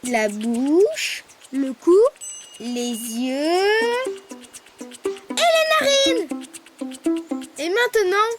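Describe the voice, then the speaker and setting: A woman's voice: high-pitched, big pitch swings, drawn-out words, long pauses, heavily acted. A woman voicing a small, friendly young male character who cheerfully explains step by step in a children's cartoon. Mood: cheerful, instructive. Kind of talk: children's cartoon voice acting, drawing tutorial. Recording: music under the voice.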